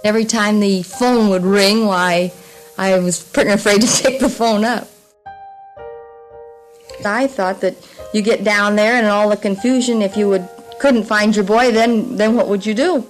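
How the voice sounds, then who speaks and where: A woman's voice: mid-range voice, fairly even intonation, measured pace, soft background music, rough recording. A woman giving a plain-spoken, hesitant recollection in a 1950s radio interview. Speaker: interviewed parent. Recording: 1950s radio documentary tape.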